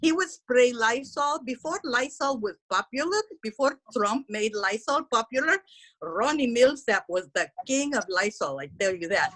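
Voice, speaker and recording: A woman's voice: fairly high pitch, expressive, normal speed, fairly bright tone, rough recording. A woman voicing a brash, gossipy middle-aged housekeeper, talking bluntly in broken English. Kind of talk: character stand-up comedy.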